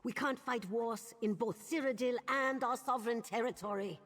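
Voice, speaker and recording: An actress playing an impatient, dismissive woman ambassador with a husky voice in a fantasy video game. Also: a little high, moderate pace, soft background music, natural voice. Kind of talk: video game character acting.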